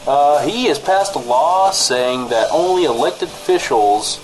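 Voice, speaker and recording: A man's voice: on the high side, lively intonation, unhurried, rough recording. A man hosting a comedy radio news show as the mild-mannered, slightly hesitant straight man.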